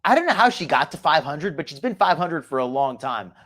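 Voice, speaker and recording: Male host, slight New Jersey accent, sarcastic gossip, very lively pitch. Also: fairly quick. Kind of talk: mocking reaction commentary.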